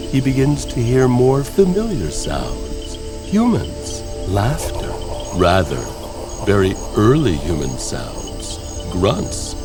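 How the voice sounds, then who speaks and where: A man narrating scripted horror tales as host, in a theatrical, wry manner with drawn-out phrasing. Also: low voice. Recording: music under the voice.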